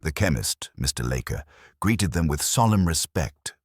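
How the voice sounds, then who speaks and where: A man with an extremely low voice narrates a period mystery. His tone is husky and his pitch moves expressively through measured, literary sentences. The sound is dry and close. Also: sing-song, dry recording. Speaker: narrator of a detective story.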